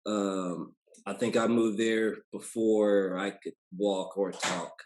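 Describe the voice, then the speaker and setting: An American man in his thirties with a low voice talks casually as a podcast guest. His manner is laid-back and colloquial. The sound is roomy and echoey. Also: steady tone, slow, a little mellow. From Indianapolis, United States. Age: 30s. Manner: colloquial, laid-back, often tags sentences with "you know". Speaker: podcast guest, basketball player. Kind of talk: casual interview chat.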